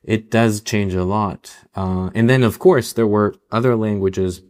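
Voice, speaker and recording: Young israeli guy, hebrew first language, really low voice, thinking out loud on a podcast, lots of um and restarts. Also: fairly even intonation, a little slow, clear, resonant tone. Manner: reflective, many restarts and "um"s. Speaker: novelist guest. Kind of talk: podcast interview.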